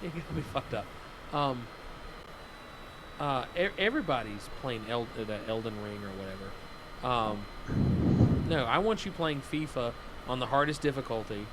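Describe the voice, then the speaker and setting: A man hosting a livestream, chatting casually and excitedly, often restarting his sentences. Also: medium pitch, a little slow, pauses often, heavy background noise. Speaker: livestream host. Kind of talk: casual gaming chat.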